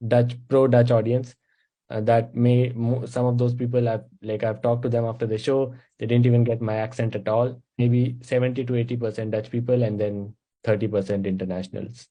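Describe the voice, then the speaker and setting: A young man with an Indian English accent talks through how he writes stand-up, step by step with plenty of uh's. His voice is mellow and dark, at a middle pitch for a man. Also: brisk, poor audio. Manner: explains in steady steps, with frequent uh fillers. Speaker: stand-up comedian, interview guest. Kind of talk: casual interview chat.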